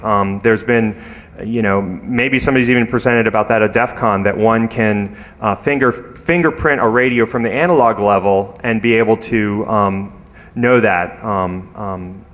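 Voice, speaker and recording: A man speaking at a conference in an informal, halting way, with lots of filler phrases as he explains technical matters and takes questions. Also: mid-range voice, a bit bright. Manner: hesitant, halting delivery with many filler phrases. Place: conference room, speaking to an audience.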